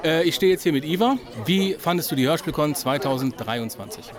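A man's voice: fairly high pitch, animated, a little fast. A man doing casual on-site interviews as a podcast host. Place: outdoors at a busy convention. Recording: crowd chatter, busy event outdoors.

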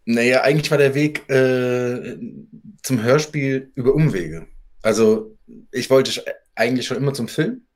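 Male interview guest, author type, medium pitch, conversational anecdote-telling. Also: normal speed.

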